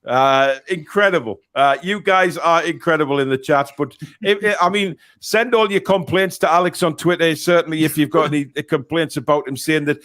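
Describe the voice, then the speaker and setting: A Geordie man hosts a casual live football watchalong from his desk mic. His voice sits high, and he jumps between shouting at the match and laughing as he reads viewer comments aloud. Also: moderate pace, few pauses. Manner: excitable, laughs often, reads chat aloud. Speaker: host.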